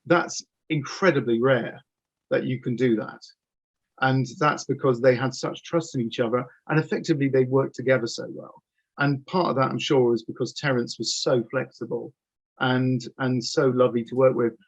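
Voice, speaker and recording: A man's voice: mid-range voice, fairly even intonation, moderate pace, slightly rough. A man in his fifties talking casually and enthusiastically as an interview guest, telling anecdotes over a video call from a home office. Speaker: audio drama producer, interview guest. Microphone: computer mic on video call. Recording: dull, lo-fi video call audio.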